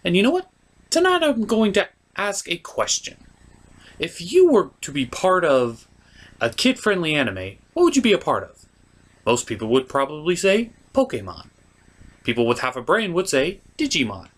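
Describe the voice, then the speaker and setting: Male review host, higher-than-average voice, very lively intonation, theatrical and exaggerated scripted comedy delivery. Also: normal speed. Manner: theatrical, exaggerated, mock exasperation.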